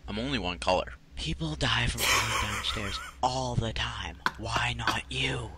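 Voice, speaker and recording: A man's voice: medium pitch, unhurried, very noisy, lightly acted. A man voicing a grumpy, confused, easily angered young soldier in an amateur anime parody dub. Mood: grumbling.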